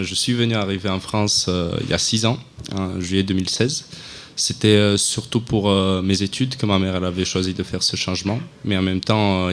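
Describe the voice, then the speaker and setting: A young man, a student guest on a live student radio show, talking in a relaxed, chatty way with many fillers. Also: low-pitched. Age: young adult.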